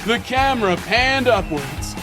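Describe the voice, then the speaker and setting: A man telling a story in a theatrical, comedic, grandiose and deliberate way. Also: very high-pitched, very animated. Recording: music behind, podcast promo clips.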